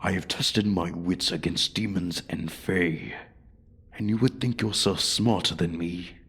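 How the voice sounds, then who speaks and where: A man voicing an ancient, arrogant dragon in a fantasy ASMR roleplay, haughty and deliberate, with a breathy voice that often drops to a whisper. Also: deep voice, fairly bright tone, full character voice. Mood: haughty offence. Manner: haughty, deliberate, coldly threatening. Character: ancient, menacing, arrogant male dragon guarding his hoard. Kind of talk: slow, theatrical fantasy ASMR roleplay monologue.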